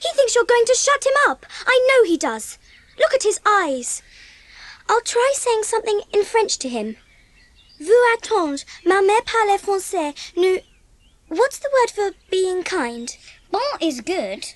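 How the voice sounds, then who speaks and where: Kind eldest daughter, English, audio drama. Very high voice, lively intonation, earnest pleading. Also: female voice, a little slow, no echo, full character voice. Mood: protective, pleading.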